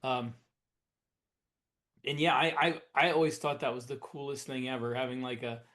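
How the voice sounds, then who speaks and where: Podcast guy, medium pitch voice, relaxed chat, feels around for his words a bit. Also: moderate pace. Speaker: podcast co-host, science fiction author. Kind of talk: casual podcast discussion.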